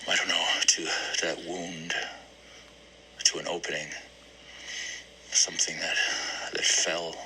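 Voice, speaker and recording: Brooding male therapy patient for a one-act play, read by a man: very low, searching, repetitive, faint noise behind. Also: level tone, slow pace, long pauses, pushed and bright, exaggerated voice, poor audio. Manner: hesitant, searching, repeats phrases.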